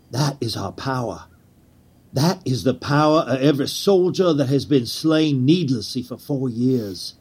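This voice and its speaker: An actor playing a proud, nervous ringleader of assassins, speaking in a theatrical, formal manner in a period drama. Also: male voice, mid-range voice, a little mellow, breathy edge. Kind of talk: historical audio drama acting.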